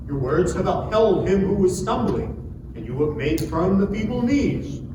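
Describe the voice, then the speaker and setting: An earnest, admonishing friend in a scripture drama, performed by a man. He declaims preachily in a high voice, far from the mic in a very echoey space. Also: exaggerated voice. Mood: measured reproach. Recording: echoey hall from afar, noisy.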